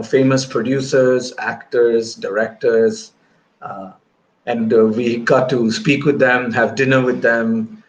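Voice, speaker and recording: A man in his thirties talking conversationally as an interview guest, speaking on a video call through earphones. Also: mid-range voice. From grew up in Bahrain and Malaysia. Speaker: guest filmmaker. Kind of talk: conversational interview answer, recounting career. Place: indoor room, remote video call. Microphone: wired earphones on video call. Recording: noisy video-call audio.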